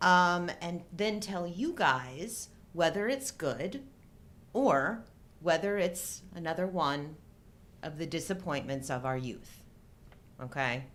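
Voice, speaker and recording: A woman's voice: on the low side, animated, slow pace, fairly bright tone. A middle-aged woman co-hosting a live show in casual, playful, agreeable banter.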